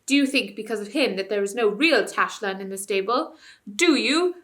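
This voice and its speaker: A woman's voice: mid-range voice, expressive, moderate pace, fairly bright tone, natural voice. A woman voicing a stern male warrior captain who thunders orders at a crowd. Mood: commanding. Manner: thundering, commanding.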